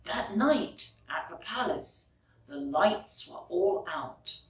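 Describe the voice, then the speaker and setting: A woman narrating a children's storybook expressively, phrasing clearly for young listeners, like a teacher reading aloud. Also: mid-range voice, lively intonation, slow. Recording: roomy echo, distant microphone.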